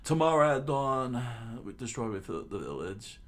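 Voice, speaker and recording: A man's voice: mid-range voice, sing-song, measured pace, a bit bright, pitched above the performer's own voice. A man voicing a calculating pirate captain addressing his crew in a dramatic, commanding manner.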